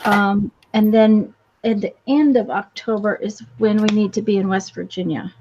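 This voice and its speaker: A woman's voice: medium pitch, fairly even intonation, normal speed, darkish tone, a little hiss or hum. A woman chatting casually in a hesitant, rambling way. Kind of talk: casual chat. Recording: slightly dull, lo-fi sound.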